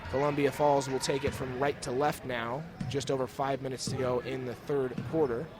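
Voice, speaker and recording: A young American man calling high school basketball as a live radio play-by-play announcer. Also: little pitch movement, normal speed, over music. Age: young adult. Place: indoor high school gym, courtside. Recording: noisy gym ambience. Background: bouncing basketballs, gym noise.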